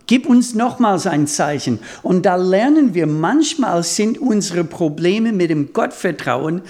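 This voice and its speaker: A man preaching a sermon in a language that is not his mother tongue, deliberate and halting as he rephrases himself. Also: high voice, a little mellow. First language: not German; speaks German as a second language.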